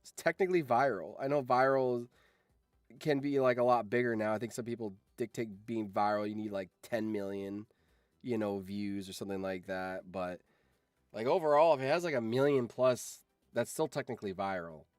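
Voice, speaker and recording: A man's voice: lowish voice, normal speed, no room sound, studio quality, faint music behind. A man chatting casually on a livestream as a video editor, rambling along and swearing freely.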